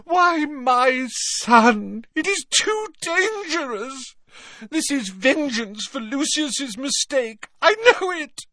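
A man's voice: very high-pitched, big pitch swings, slow pace, sharp tone, no room sound, voice pushed up, full character voice. A man reading an audiobook, voicing a distraught aristocratic mother who pleads through sobs. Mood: pleading. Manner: sobbing, desperate pleading. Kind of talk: audiobook character voice.

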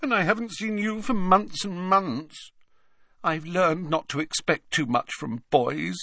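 A man's voice: high-pitched, a little slow, gravelly, sharp tone, no echo, clean studio sound, voice pushed up, heavily acted. A man voicing the glum, self-pitying ghost of a teenage girl in an audiobook, sulky and plaintive. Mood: glum, reproachful.

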